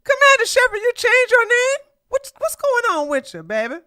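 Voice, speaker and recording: A woman hosting a casual livestream, chatting with her viewers and reading their messages. She greets them with loud, high exclamations and laughs often as she teases her chat. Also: big pitch swings, moderate pace. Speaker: livestream host.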